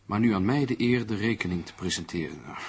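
A man's voice: lowish voice, lively intonation, fast talker, vocal fry, background noise. An actor in a radio play playing a worried, sardonic man with an emphatic, condescending way of talking. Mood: wry, pondering. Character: worried, sardonic man lecturing an amateur sleuth.

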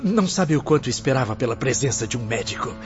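A man's voice: mid-range voice, animated, fast, natural voice. A man acting a pained, pleading patient in a radio drama. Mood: suffering. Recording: muffled audio with soft music.

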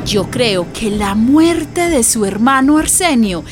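A woman's voice: fairly high pitch, animated, slow pace. An actress playing a reflective neighbor giving testimonial narration about her friend in a radio drama. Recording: music behind the narration.

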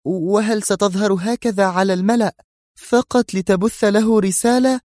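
A man's voice: high voice, steady tone, moderate pace, a little mellow, dry recording, clean studio sound, voice pushed up, natural voice. A man voicing a tense, cautious woman who works for a crime boss, in an audio drama. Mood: incredulous.

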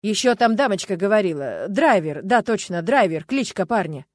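A woman's voice: mid-range voice, big pitch swings, normal speed, no room sound, clean studio sound. A woman voicing a tough, furious male police captain who barks short, curt orders, in an audiobook. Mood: curt, tense. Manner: curt, barking, angry. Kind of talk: audiobook character voice.

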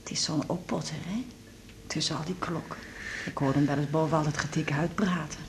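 An actress playing a worried middle-aged mother in a radio drama, hushed and self-reproaching. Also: low-pitched, fairly even intonation, fairly quick, slight background noise. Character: middle-aged mother, anxious and guilt-ridden.

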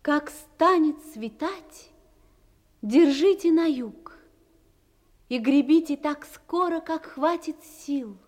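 Ethereal high-voiced phantom woman, deep reverb. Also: darkish tone. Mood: solemn, reassuring. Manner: solemn, measured, with drawn-out pauses. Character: gentle, mysterious young phantom woman who runs on waves. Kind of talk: slow, poetic audio drama acting. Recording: long cavernous reverb under music.

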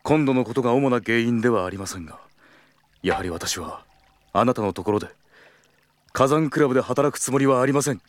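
A man acting a protective, hot-tempered senior colleague in an audio drama, firm and formal in confrontation, otherwise blunt and rough. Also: medium pitch, a little fast, pauses often, dry recording, close to an everyday voice. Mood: firm, defiant.

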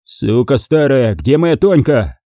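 A man's voice: medium pitch, sing-song, takes it slowly, mellow, no room sound, clean studio sound. A man playing a cold-blooded, mocking thug in an audiobook, taunting and domineering as he interrogates. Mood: angry, threatening.

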